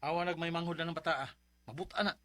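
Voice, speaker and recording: A man's voice: a little high, steady tone, quick pace, sharp tone. A man playing an easygoing, blunt buddy in a radio drama. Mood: teasing advice. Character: easygoing male buddy giving blunt advice. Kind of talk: Philippine radio drama acting.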